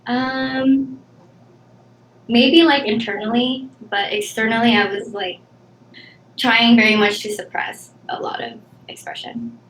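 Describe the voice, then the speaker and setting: A woman in her twenties, from the Philippines, answering interview questions softly and hesitantly, with many pauses and ums. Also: a little high, fairly even intonation. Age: 20s. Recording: echoey room, faint background noise.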